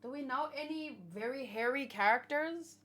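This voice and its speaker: A woman's voice: high-pitched, moderate pace, bright, forceful tone. Woman thinking aloud in casual live commentary. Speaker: host of a manga read-along reaction show.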